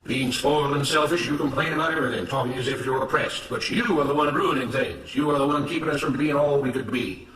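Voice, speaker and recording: A man's voice: fairly high pitch, steady tone, fast talker, hardly any pauses, fairly bright tone, pitched above the performer's own voice, natural voice. Man playing a pompous, self-righteous plantation boss, echoey. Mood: accusing. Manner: pompous, lecturing, oratorical. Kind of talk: dramatized story reading. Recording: roomy, noisy, distant microphone.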